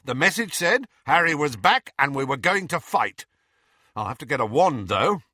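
A man's voice: on the high side, sing-song, normal speed, pushed and bright, no echo, studio quality, pitched above the performer's own voice, exaggerated voice. A man voicing a blunt, eager young male comrade ready to fight, in an audiobook.

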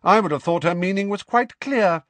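A man voicing a stern, cold older female professor, speaking crisply. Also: sits high, moderate pace, fairly bright tone, dry recording, pitched above the performer's own voice. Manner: crisp, cutting.

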